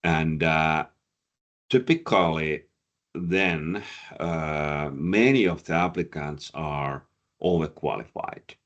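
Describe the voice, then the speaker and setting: A man's voice: extremely low pitch, slow pace, fairly bright tone, dull audio. A man coaching job seekers, answering audience questions with many fillers and repeated words, a Finnish speaker talking in English. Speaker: career coach and presenter.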